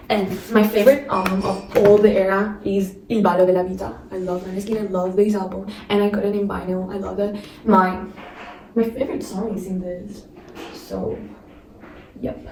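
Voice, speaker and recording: A woman's voice: mid-range voice, roomy sound, far from the mic, background noise. A young woman vlogging, chatting and gushing, full of fillers, with a non-native accent. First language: not English. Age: young adult. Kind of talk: casual chat.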